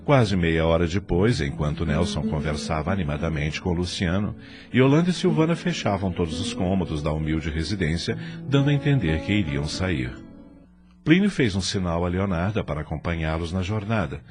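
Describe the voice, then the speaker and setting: A Brazilian man narrating a scripted audio drama in a measured, formal manner. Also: low voice, expressive, music in the background.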